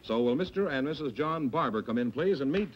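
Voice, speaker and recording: A man with an American accent announces for a vintage quiz show. His delivery swings widely in pitch, in classic 1950s broadcast style. The sound is dull, with clear noise on the recording. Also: expressive, brisk.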